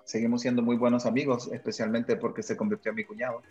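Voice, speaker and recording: A man's voice: mid-range voice, little pitch movement, fast talker, a little mellow, soft background music. Honduran-raised man with dull lo-fi audio. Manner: chatty, many "ah" fillers, laughs easily. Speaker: guest, missionary pastor. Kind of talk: relaxed personal storytelling.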